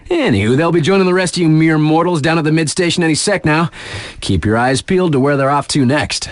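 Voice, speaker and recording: Guy doing a dry close-up game radio dj, slangy snowboard dude, kinda high voice, laid back and wry. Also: fast talker, no room sound, close to an everyday voice. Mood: breezy. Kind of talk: casual in-game radio announcements.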